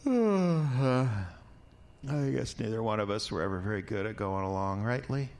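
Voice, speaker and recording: Bitter, drunken ex-husband for audio drama: low voice, crude, rambling, menacing. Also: male voice, animated, normal speed, a little mellow, slight background noise. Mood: sly, needling.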